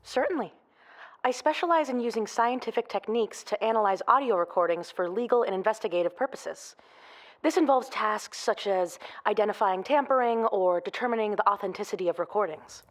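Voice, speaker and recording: A woman's voice: medium pitch, brisk, close to an everyday voice. A woman playing a cautious forensic expert, speaking precisely and formally in a scripted audio drama interview. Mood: cautious, professional. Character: cautious, professional female forensic audio examiner. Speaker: expert podcast guest.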